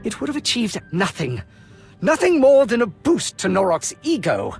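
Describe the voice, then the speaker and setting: A man's voice: high-pitched, huge pitch swings, normal speed, vocal fry, slightly rough, soft background music, full character voice. An actor playing a proud, idealistic Imperial colonel in a video game, speaking formally and earnestly in his own defence. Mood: impassioned, defensive. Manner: formal, earnest, impassioned speechmaking. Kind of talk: dramatic video game acting.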